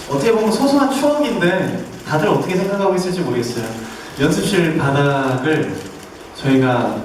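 A young man, a stage actor, recalling rehearsals at a press call. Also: fairly high pitch. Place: theatre stage, recorded from the audience. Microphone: handheld mic through PA, distant camera. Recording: very echoey, distant, faint noise.

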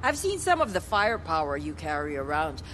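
A woman's voice: low-pitched, very animated, fairly quick, a bit bright, a little hiss or hum. An actress playing a blunt, spiritual, resolute woman survivor in a video game cutscene, with a matter-of-fact delivery. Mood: frank, wry.

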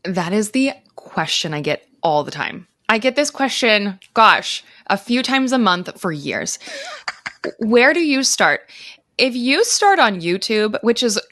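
A woman's voice: mid-range voice, sing-song, a little slow, fairly bright tone, no echo, clean studio sound. A woman in her thirties speaking as an interview guest, explaining things in an informal, conversational way. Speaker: interview guest, author and teacher. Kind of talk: conversational advice. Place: indoor room, on a video call. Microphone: close microphone.

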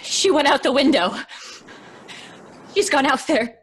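A fragile, terrified woman in an old radio horror play. Her voice is very high and the delivery slow, halting and pleading. She repeats herself as she edges toward hysteria. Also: lively intonation, fairly bright tone. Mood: fearful, bewildered. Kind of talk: old-time radio horror drama acting.

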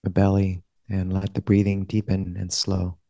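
Low, even-voiced man guiding meditation. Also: no echo, lo-fi sound. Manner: gentle, unhurried, with long pauses. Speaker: monastic meditation teacher. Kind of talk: guided meditation.

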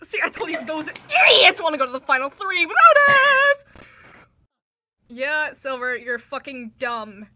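A woman doing a halting, giggly, irreverent improvised cartoon fandub. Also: high-pitched, sing-song. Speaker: amateur fandub voice performer. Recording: noisy home recording.

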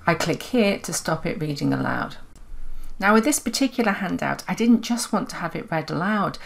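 A woman presents a software tutorial in a low voice. She explains each step as an instructional voice-over. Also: expressive, normal speed, vocal fry.

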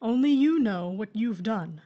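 A wronged widow in an audio drama played by a woman, with a slightly high voice. She is earnest and questioning, firm yet torn. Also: animated, a little slow, muffled recording. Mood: firm, probing. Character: grieving, wronged widow, mother of grown children, firm but torn.

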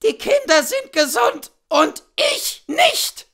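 A man voicing an irritable, self-pitying sick gentlewoman, fretful and aggrieved, in a classic story reading. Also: very high voice, sing-song, slow pace, vocal fry, voice pushed up, heavily acted.

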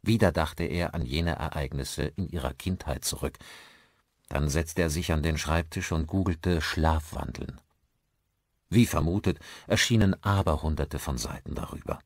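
A man's voice: very deep voice, expressive, a little slow, no echo, clean studio sound. A man narrating a suspense novel as a scripted audiobook.

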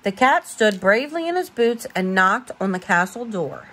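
A woman reading a bedtime storybook aloud as a parent, expressive and informal, stumbling now and then over words. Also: mid-range voice, normal speed, slight background noise.

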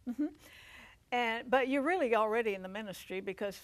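Older woman, talk show host, animated, leading questions, lapel mic, studio. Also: a little high, fairly quick. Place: TV studio set with living room decor.

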